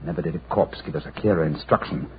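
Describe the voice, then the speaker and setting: A brisk, low-voiced English detective, acted by a man from an old radio mystery. He talks very quickly, commanding and sardonic with theatrical flair. The sound is muffled and slightly hissy. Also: natural voice. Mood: confident. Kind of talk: 1940s radio acting.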